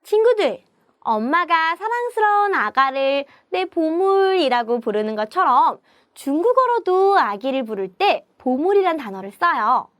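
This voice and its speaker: A woman telling a story as a children's language teacher, with clear, deliberate teaching and repeat-after-me prompts. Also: sits high, big pitch swings, fairly bright tone, dry recording, clean studio sound. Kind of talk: animated storytelling lesson for young children.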